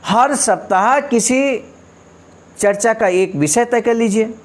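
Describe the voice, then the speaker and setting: A man's voice: extremely high pitch, slow pace. A man delivering a motivational address, reading out quotations and explaining them in an emphatic, didactic way with rhetorical questions and repeated points. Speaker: speaker explaining a leader's speech.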